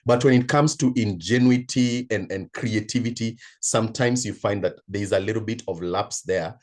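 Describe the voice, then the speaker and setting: Low-pitched Kenyan man, deliberate speaker. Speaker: guest speaker and coach. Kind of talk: motivational talk in an online session.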